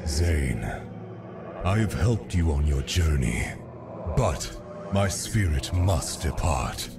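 A solemn spirit mentor for a video game. The voice is very low and swings in pitch, with slow, portentous delivery. It sounds set back from the mic, with music behind. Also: male voice, animated, vocal fry, far from the mic, heavily acted. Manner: grave, deliberate, portentous. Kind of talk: video game cutscene voice acting.

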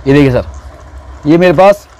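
A man's voice: on the high side, expressive, moderate pace, a little mellow, slight background noise. A middle-aged man with a Delhi Hindi accent showing his wholesale stock and quoting prices, agreeing again and again. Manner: keeps agreeing, "bilkul, bilkul". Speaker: shopkeeper. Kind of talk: casual sales talk. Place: small crowded wholesale shop, indoors.

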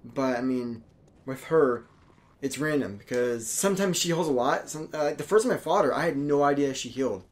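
A man's voice: mid-range voice, brisk. A man giving casual, grumbling, sarcastic let's play commentary as he plays a video game. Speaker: gaming video host playing a boss fight. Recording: clear background game noise. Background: video game sound.

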